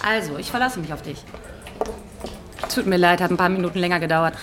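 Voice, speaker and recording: A woman's voice: on the low side, background noise, lightly acted. An actress playing a confident, direct woman producer who commands and teases, in radio drama dialogue. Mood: brisk, businesslike. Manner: brisk, direct. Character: brisk, confident female TV producer, teasing and commanding. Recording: loud music bed behind voice.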